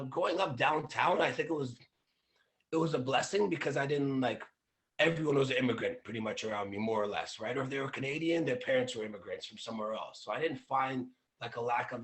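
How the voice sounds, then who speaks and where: A man's voice: mid-range voice, fairly bright tone, rough recording. A young man from Russia, a crypto public speaker, chatting casually as a panel guest and rambling with lots of fillers.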